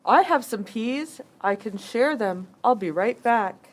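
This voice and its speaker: A woman's voice: on the high side, animated, slow. A woman voicing curious, helpful village folk in a children's puppet show. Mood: eager, helpful.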